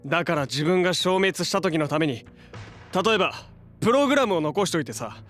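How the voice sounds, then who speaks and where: A cocky young action hero in an audio drama, his voice high for a man. He talks in casual, punchy, confident phrases, with soft music behind him. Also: lively intonation, brisk. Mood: speculating. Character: cocky, loyal young male hero, quick-witted. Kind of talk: Japanese audio drama acting.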